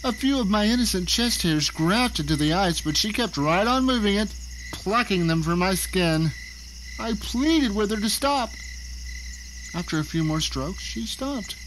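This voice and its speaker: A man acts out a captive male novelist in an audio drama, recounting his ordeal in a theatrical, exclamatory way. He sounds panicked and indignant. The track is clearly noisy, with insect-like chirping behind the voice. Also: high voice, lively intonation, measured pace. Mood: frantic, pleading. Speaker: narrator and lead actor. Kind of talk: dramatic first-person audio drama narration.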